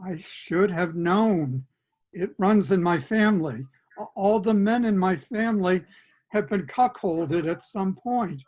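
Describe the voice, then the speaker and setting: A man playing a bewildered, gullible patient, earnest and halting, in a comic play reading over a video call. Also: high voice, lively intonation, darkish tone. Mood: resigned dismay.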